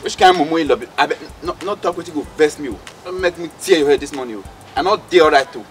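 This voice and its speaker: Fast-talking, blustering Nigerian hothead played by a man. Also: a little high, soft background music, close to an everyday voice. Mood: threatening, bossy. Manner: blustering, scolding. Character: hot-tempered, scolding male friend, streetwise and threatening. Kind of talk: comedy drama acting in Nigerian Pidgin.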